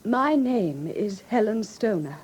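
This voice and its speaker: A woman with an English received pronunciation accent playing a frightened, grieving young gentlewoman who speaks formally and earnestly in a classic radio drama. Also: mid-range voice, animated, slow, slightly rough. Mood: grave, troubled. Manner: formal, earnest, precise storytelling.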